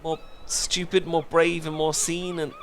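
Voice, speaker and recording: Guy acting as flustered british best friend in a romcom audio thing, kinda high voice, stammery and pleading, bit of background noise. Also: flat delivery, unhurried, fairly bright tone. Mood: awkward, apologetic. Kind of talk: comedic romantic audio roleplay acting.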